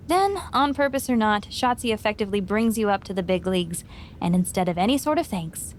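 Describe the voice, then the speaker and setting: A woman's voice: medium pitch, slight background noise, close to an everyday voice. A woman voicing a wry female friend in a game, with teasing, dry sarcasm. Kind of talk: game cutscene dialogue.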